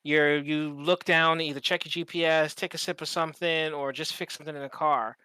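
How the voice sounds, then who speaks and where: A podcast co-host chatting casually and comedically, acting out examples and swearing freely in an animated storytelling manner. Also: mid-range voice, brisk, clear, resonant tone, no echo.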